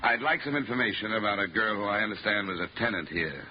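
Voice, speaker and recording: An actor playing a wry, dogged insurance investigator in 1950s American radio style, speaking in a clipped, dry, hardboiled way. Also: male voice, fairly low pitch, animated, fast talker, a little hiss or hum, lightly acted. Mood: politely probing. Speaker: lead actor and narrator of a radio detective show. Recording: muffled vintage radio recording, music.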